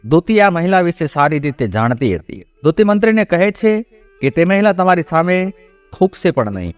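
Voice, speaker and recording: Man reading out a moral folk story, pretty high voice, very animated, music behind him. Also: moderate pace, clear, resonant tone, no room sound. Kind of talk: scripted narration of a devotional moral folk tale.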